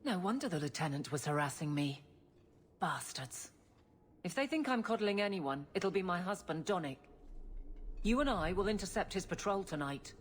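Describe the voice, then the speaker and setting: Blunt, low-voiced guard captain. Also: female voice, lively intonation, moderate pace, breathy edge. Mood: resolute. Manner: blunt, firm. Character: stern, proud, no-nonsense female guard captain. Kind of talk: video game voice acting.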